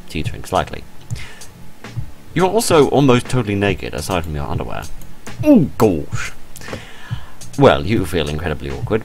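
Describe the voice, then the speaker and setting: A British man with a very deep voice commentates on a game over background music. He reads the story text aloud with theatrical, widely swinging intonation, then breaks into incredulous, halting asides. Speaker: host of a game playthrough. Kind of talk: gaming commentary, reading visual novel text aloud with ad-libbed reactions. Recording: music under the voice.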